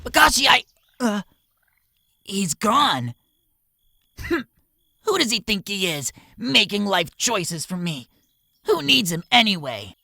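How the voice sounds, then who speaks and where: A woman voicing a young male alien warrior in an audio drama, indignant and quick to lash out, with a gravelly edge. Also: medium pitch, big pitch swings, pushed and bright, full character voice. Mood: resentful.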